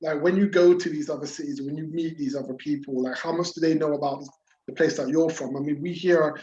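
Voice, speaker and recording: Bristol man hosting a podcast, highish voice, rambling questions, muffled lo-fi audio. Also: fairly even intonation, a little fast, a little mellow. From England. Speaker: podcast host. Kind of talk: casual interview chat. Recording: dull lo-fi podcast audio with faint noise.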